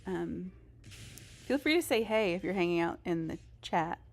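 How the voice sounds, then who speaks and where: Casual, slightly low-pitched woman streamer. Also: lively intonation, moderate pace, slight background noise. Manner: rambling, self-deprecating, informal. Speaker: live streamer. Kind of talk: casual gaming stream chat.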